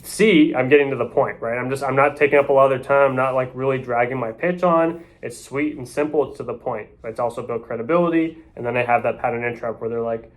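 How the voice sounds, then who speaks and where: A man's voice: medium pitch, quick pace, few pauses. A man who works as a real estate agent, coaching others on door knocking in a loose, off-the-cuff way and often tagging his points with "right?". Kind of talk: casual sales coaching talk.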